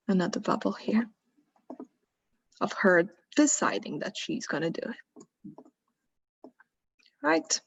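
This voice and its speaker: A woman teaches slowly and patiently in a live online workshop, narrating each step as she goes and checking in with her learners. Her voice comes through a computer mic on a video call, with dull, lo-fi audio. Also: medium pitch, gravelly, slightly rough. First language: Croatian. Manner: thinks aloud while working, asks learners questions. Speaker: workshop instructor.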